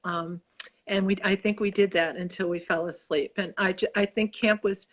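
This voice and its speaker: A woman's voice: mid-range voice, a bit bright. A woman in her sixties with a Chicago accent, an author talking about her book in an effusive, rambling interview over a video call. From Chicago, USA. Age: 60s. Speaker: author guest. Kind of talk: casual interview chat.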